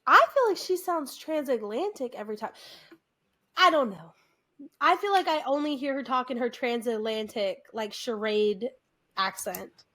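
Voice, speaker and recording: An American woman co-hosting a podcast, chatting casually, animated and quick to restart her sentences. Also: on the high side. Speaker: podcast co-host. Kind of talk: casual chat.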